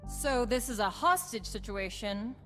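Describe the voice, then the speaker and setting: A woman's voice: fairly high pitch, lively intonation, measured pace, a bit bright, faint music behind. A woman playing a wry, teasing squad agent in video game banter, with a casual sardonic manner.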